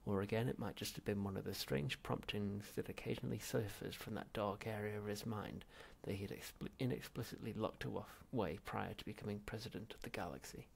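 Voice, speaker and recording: Gravelly, husky male audiobook narrator. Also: on the low side, fairly quick, few pauses. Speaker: audiobook reader. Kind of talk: expressive literary narration of comic science fiction.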